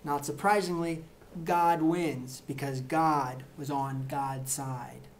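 A middle-aged man reading satirical excerpts to camera in a deadpan, mock-earnest way, in a plain indoor room. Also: a little high, slow pace, a little hiss or hum. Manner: mock-earnest, punchy, with gestures. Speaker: reader of satirical book excerpts.

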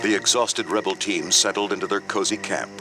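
Low-voiced man narrating a storybook. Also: fairly even intonation, a little fast. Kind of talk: scripted read-along storybook narration. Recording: loud music under the voice.

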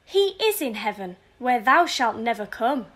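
A woman's voice: on the high side, big pitch swings, a little slow, a bit bright, exaggerated voice. A woman playing a grieving, furious widow in a theatrical, scornful verse audio drama. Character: grieving, furious widow cursing her husband's killer.

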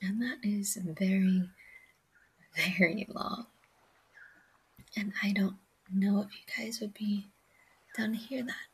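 Breathy, slow whispering woman. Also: mid-range voice, steady tone, rough recording. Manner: soft, confiding, addressing listeners directly. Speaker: ASMR creator and audio-log host. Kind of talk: ASMR-style whispered casual rambling monologue.

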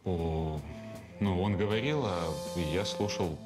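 An actor playing a gloomy PE teacher who answers an investigator hesitantly and slowly. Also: male voice. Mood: reluctant. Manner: hesitant, slow, low-key answers. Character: reluctant, gloomy male PE teacher, guarded. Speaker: PE teacher witness. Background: background music.

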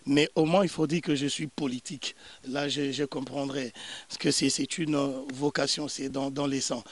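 A man's voice: mid-range voice, steady tone, normal speed. A man in his thirties from Congo, a political analyst answering interview questions outdoors in a garden into a handheld mic, earnest, often repeating words as he searches for phrasing. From Democratic Republic of the Congo. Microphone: handheld mic held by interviewer.

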